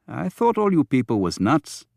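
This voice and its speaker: Man putting on a gruff, blunt cabbie. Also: mid-range voice, wildly swooping pitch, moderate pace, a little mellow, dry recording, clean studio sound, pitched above the performer's own voice. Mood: suspicious. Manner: blunt, slangy, ungrammatical. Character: gruff, suspicious, greedy male city cabbie.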